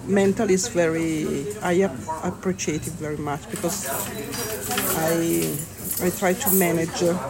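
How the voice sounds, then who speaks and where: Woman pilgrim, very slow, hesitant delivery, crowd behind. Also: on the low side, fairly even intonation, gravelly. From Italy. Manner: slow, hesitant, searching for words. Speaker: pilgrim interviewee.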